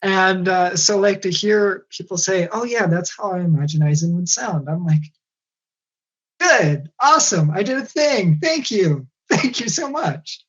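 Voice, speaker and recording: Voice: mid-range voice, lively intonation, a little slow, fairly bright tone, husky, lo-fi sound. A voice actor guest in a casual podcast chat, hesitant with many ums and frequent giggles.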